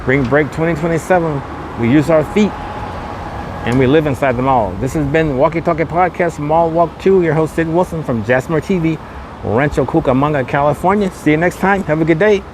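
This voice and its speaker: A man's voice: on the high side, a little fast. A man hosts a casual walking tour podcast, repeating his points in tour-guide patter. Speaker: podcast host and vlogger. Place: outdoors on a windy street at a shopping mall. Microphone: camera microphone with a wind guard. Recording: windy outdoor street noise.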